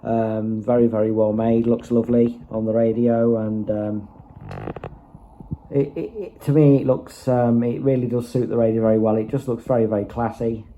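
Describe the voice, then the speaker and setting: Low-key man, flat delivery, mellow voice. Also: dull audio, a little hiss or hum. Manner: halting, repetitive explaining. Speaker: hobbyist presenter. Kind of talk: casual unscripted product walkthrough.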